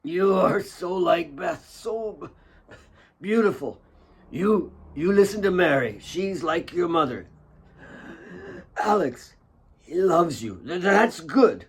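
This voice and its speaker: A man voicing a dying old father, frail and loving, with thin raspy breath and halting, broken speech. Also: sits high, animated, voice pushed up, exaggerated voice. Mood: weak, tender.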